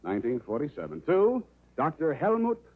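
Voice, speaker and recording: An actor playing a wry, flirtatious, hard-boiled private detective in a 1940s radio noir drama. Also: male voice, a little high, big pitch swings, moderate pace, gravelly, husky, heavily acted. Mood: businesslike. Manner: sardonic, teasing, mock-poetic. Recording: old lo-fi radio broadcast.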